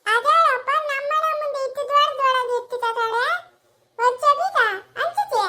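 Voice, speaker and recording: A woman putting on a squeaky, whining village elder who wails in drawn-out cries. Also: bright, forceful tone.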